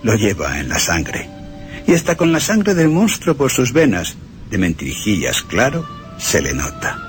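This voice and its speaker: A man's voice: fairly low pitch, wildly swooping pitch, unhurried, faint music behind. A man presenting a scripted radio narration about cinema and literature in a dramatic storytelling way. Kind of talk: scripted film-history narration with dramatic readings.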